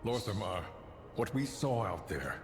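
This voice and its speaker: A man's voice: fairly low pitch, sing-song, a little slow. A man playing an earnest, wary elven ranger in a fantasy video game, speaking formally and urgently. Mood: grave. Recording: echoey hall with loud music.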